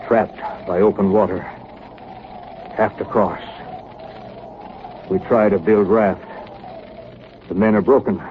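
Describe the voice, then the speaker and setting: Stern sea captain, slow and terse. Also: male voice, on the low side, steady tone. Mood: grim, weary. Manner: slow, terse, clipped phrases, commanding. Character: stern, driven ship's captain keeping a grim survival diary. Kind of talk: 1930s radio drama acting. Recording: muffled phone-like, clearly noisy 1938 radio recording.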